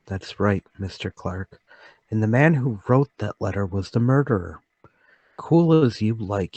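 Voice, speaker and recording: A man playing an earnest, troubled but determined accused man in a radio play table read, speaking deliberately. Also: fairly low pitch, a little mellow, no echo. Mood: resolute.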